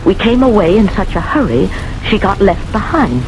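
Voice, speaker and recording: An actress playing a lonely, gentle, nervous old lady in a radio drama, with a hesitant, fluttery delivery. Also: mid-range voice, sing-song, normal speed, music in the background, close to an everyday voice, poor audio. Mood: flustered, wondering. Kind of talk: 1970s radio drama acting.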